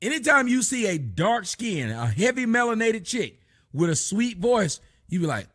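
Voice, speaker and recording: A middle-aged man hosting a livestream from his home, giving loud, mocking commentary full of cursing on a video clip. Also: sits high, sing-song, normal speed. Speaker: livestream host. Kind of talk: profane comedic commentary on a clip. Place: indoor home room.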